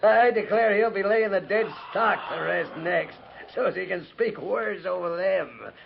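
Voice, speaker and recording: Creaky, sardonic frontier private. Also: male voice, high-pitched, measured pace, full character voice. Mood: sardonic, griping. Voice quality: creaky, gravelly. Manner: folksy, drawling frontier slang, mocking. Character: sardonic, callous male frontier cavalry private digging graves. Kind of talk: 1950s radio western acting. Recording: muffled, noisy 1950s radio transcription.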